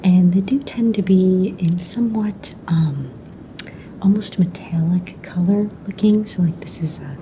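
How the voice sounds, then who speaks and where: A woman playing a calm, businesslike young fantasy egg hunt official in a breathy, soft-spoken ASMR roleplay, casual and matter-of-fact. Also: on the low side, measured pace, slight background noise, lightly acted, rough recording. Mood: calm, polite. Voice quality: breathy, whispery.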